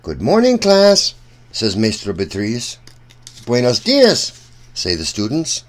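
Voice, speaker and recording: Animated man reading a children's storybook. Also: medium pitch. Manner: animated, deliberate, addresses young listeners directly. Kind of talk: read-aloud storybook narration for children.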